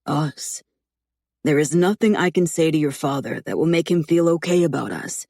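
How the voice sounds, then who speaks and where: A woman voicing a calm, teasing man in his late twenties who talks in a measured, reasoning way. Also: a little high, gravelly, dry recording, clean studio sound. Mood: earnest. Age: 20s.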